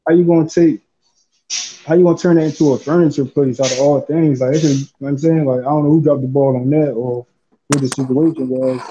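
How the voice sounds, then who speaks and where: A man's voice: steady tone, fast, mellow, slight background noise. A man from Philadelphia, a guest on a live talk stream, rambling casually and often saying "you know what I'm saying". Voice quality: says he has a deep voice. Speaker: guest caller. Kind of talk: casual chat. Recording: dull lo-fi stream audio.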